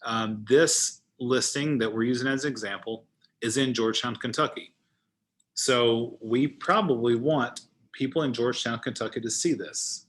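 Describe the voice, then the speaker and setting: A man with a bright, forward voice at an ordinary male pitch leads an informal software tutorial. He talks like a trainer walking someone through a screen, thinking aloud as he goes. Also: normal speed. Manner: thinks aloud while demonstrating. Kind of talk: informal screen-share walkthrough.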